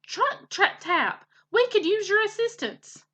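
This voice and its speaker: A woman's voice: fairly high pitch, very animated, unhurried, pushed and bright, husky, full character voice. A woman voicing a jovial, hearty male beaver craftsman who calls out to his pals in a children's storybook. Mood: hearty, calling out.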